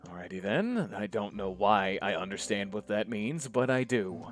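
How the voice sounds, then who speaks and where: A young man playing a dazed, sardonic male medic, talking in a wry inner monologue that slips into hushed whispers. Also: fairly low pitch, lively intonation, normal speed, over music, lightly acted. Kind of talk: one-man voice acting.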